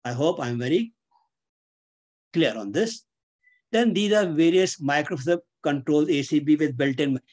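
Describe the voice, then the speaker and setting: An older man with a fairly high voice teaches a technical class over a video call. He is deliberate and didactic, going point by point through his slides and repeating or spelling out key terms. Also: expressive, a little mellow. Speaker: engineering instructor. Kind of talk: webinar lecture explaining slides. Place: indoors, on a webcam video call.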